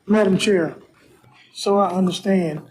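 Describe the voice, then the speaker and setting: A man on a city board asks a question in a deliberate, inquiring way. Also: sits high, steady tone, darkish tone, a little hiss or hum, poor audio. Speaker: board member. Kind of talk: question at a public meeting.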